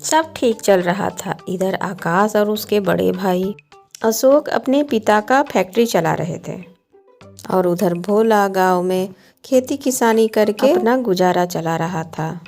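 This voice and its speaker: A woman narrates a cartoon moral story in a scripted storybook style. Her pitch sits in the middle range and she takes her time over each sentence. Soft music plays behind her. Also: animated, slow, creaky. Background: background music.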